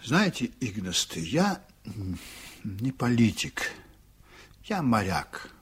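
Husky, expressive old-school sea captain. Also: male voice, medium pitch, slow pace, full character voice. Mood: serious. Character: seasoned ship's captain, proud, stubborn, reflective. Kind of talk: 1980s Soviet radio drama acting.

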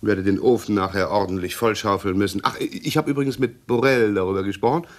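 Actor as fussy, self-satisfied husband. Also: male voice, on the low side, fast talker, close to an everyday voice. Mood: pedantic. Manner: pedantic, blustering, muttering and repeating himself. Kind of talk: 1960s German radio play acting.

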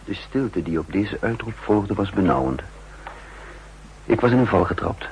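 An actor playing a cool, calculating spy chief who narrates in the first person, in a 1960s radio drama. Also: male voice, low-pitched, lively intonation, slight background noise, rough recording. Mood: tense. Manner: measured, sardonic. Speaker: narrator and lead.

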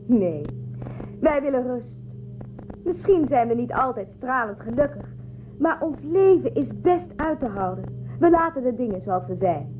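A woman playing a proud, resigned leader of a starship colony in a science-fiction radio drama, speaking with measured, dismissive authority. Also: high voice, lively intonation, soft background music. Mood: amused, resigned. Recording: muffled narrow-band audio with noise.

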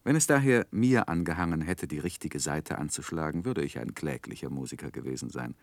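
A reflective gentleman narrator in a radio drama. The voice is deep and dry, with lively melodic swings over measured, literary sentences. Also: no echo. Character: reflective gentleman narrator recalling his youth. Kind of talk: scripted narration in 1960s German radio play.

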